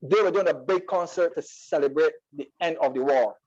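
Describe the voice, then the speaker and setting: A man with a Barbadian accent speaking as a guest poet in an interview, explaining in a halting way and often repeating words. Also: a little high, darkish tone, breathy edge, dull audio.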